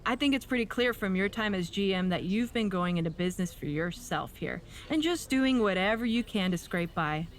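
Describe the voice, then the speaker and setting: A woman's voice: medium pitch, expressive, moderate pace, few pauses, a little hiss or hum, close to an everyday voice. A woman playing a snide, scheming wrestling faction leader in a video game cutscene. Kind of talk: scripted game cutscene dialogue.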